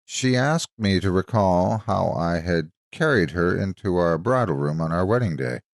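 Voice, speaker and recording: A man narrating a scripted dramatic story in a measured, expressive storytelling manner. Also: deep voice, no room sound, clean studio sound.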